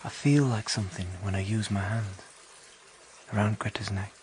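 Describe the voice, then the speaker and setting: An actor playing a haunted young killer, confessing in a halting, brooding radio drama narration. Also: male voice, lowish voice, darkish tone, slight background noise, natural voice. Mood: brooding, cold.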